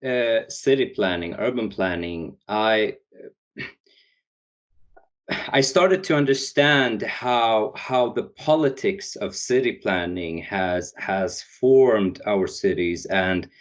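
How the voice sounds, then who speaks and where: Swedish-raised young guy, podcast guest, lowish voice, stop-start phrasing. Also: slow pace. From Sweden. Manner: hesitant, many restarts and fillers. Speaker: guest, founder. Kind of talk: podcast interview.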